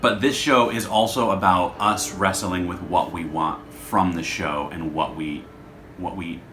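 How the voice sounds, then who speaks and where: A man's voice: sits low, steady tone, measured pace, fairly bright tone. A man talking off the cuff to camera as host of his show, rambling, introspective and self-deprecating. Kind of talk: casual unscripted vlog talk to camera. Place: hotel room. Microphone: camera held by another person. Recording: echoey room with faint background noise.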